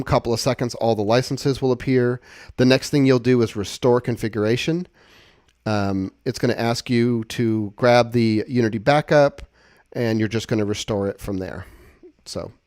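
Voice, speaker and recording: Man, medium pitch, casual tutorial presenter, informal step-by-step explaining, dry sound. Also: darkish tone, no echo. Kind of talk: casual screencast walkthrough.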